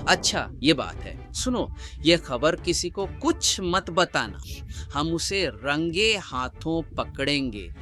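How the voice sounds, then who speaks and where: A man voicing a clever, upbeat village jester in an expressive, theatrical cartoon style. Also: on the high side, slow pace, exaggerated voice. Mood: conspiratorial. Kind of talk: animated cartoon dub, comic acting. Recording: music under the voice.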